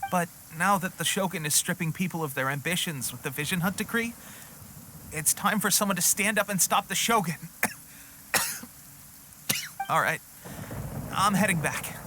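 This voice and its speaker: An actor playing an earnest, proud young soldier who is worn out and weak, in dramatic video game style. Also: male voice, a little high, background noise, natural voice. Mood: resolute, then tired, reassuring. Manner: halting, casual, bravely cheerful. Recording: music playing behind.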